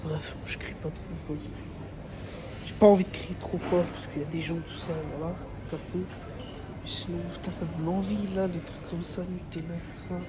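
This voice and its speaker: A man's voice: high-pitched, level tone, moderate pace, background noise. A young man born in Italy and raised in France, vlogging a family road trip in casual slangy chat, talking straight to his viewers. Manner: slangy, rambling, speaks to viewers directly. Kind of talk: casual travel vlog. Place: car and highway rest stop on a road trip.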